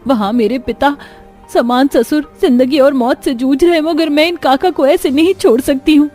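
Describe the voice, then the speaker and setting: A woman's voice: high voice, moderate pace, darkish tone, soft background music, close to an everyday voice. A woman playing a kind, humble young daughter-in-law in a cartoon, speaking earnestly and pleadingly. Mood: anxious.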